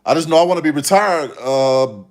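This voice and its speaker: A man's voice: fairly high pitch, expressive, fairly quick. A man in his fifties hosting a livestream, chatting casually with viewers in a rambling way and often repeating himself. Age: 50s. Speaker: livestream host.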